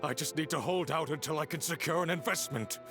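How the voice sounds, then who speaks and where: A man's voice: on the high side, quick pace, natural voice. A man voicing a resentful, scheming businessman villain in a game, sneering and barking orders at his underlings. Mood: bitter. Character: bitter scheming male businessman, ambitious and petty. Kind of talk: game dialogue voice acting. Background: game music.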